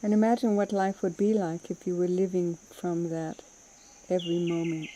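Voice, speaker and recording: Woman meditation guide, lowish and deeply mellow, unhurried and soothing, faint insect ambience behind. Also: flat delivery, slight background noise. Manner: soothing, unhurried, gentle pauses between phrases. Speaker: meditation teacher.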